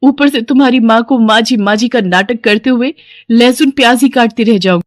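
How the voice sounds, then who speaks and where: A woman's voice: fairly high pitch, normal speed, dry recording, voice pushed up, lightly acted. A scheming young daughter-in-law from the city, acted out by a woman storyteller. Her voice sits raised and close in a dry recording. She complains and demands insistently, in the style of a cartoon moral tale. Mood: whining complaint.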